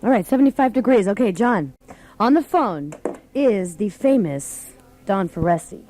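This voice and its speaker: A woman's voice: medium pitch, expressive, moderate pace, mellow, a little hiss or hum. A woman hosting a live radio show from a studio, chatting casually with callers. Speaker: radio host.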